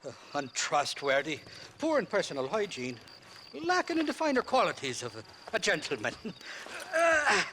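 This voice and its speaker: An actor playing a chatty, fawning western rogue in a game, with an effusive, hesitant, mock-genteel manner. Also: male voice, sits high, wildly swooping pitch, normal speed, noisy background. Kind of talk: cinematic western game acting.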